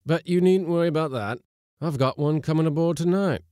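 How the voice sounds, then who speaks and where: Man playing a gruff, blustering schooner skipper. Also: fairly high pitch, fairly quick, dark tone, dry recording, clean studio sound, pitched above the performer's own voice, lightly acted. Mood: amused, confident. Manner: gruff, commanding. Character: stern, blustering male schooner skipper, irritable but scheming.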